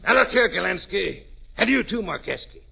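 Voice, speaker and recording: A hectoring football coach, husky and very animated, barking emphatically at his team. It is old radio comedy salesmanship on a muffled recording. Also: high voice, moderate pace, heavily acted. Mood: scolding. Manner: barking pep talk, emphatic. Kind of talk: 1940s radio comedy advertisement acting.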